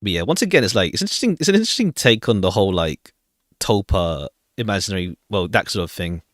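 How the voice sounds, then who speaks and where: A man's voice: deep voice, expressive, quick pace, a bit bright, dry recording, clean studio sound. A man giving casual commentary as he plays a visual novel, rambling and repeating phrases as he thinks aloud. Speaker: streamer playing a visual novel. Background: game music.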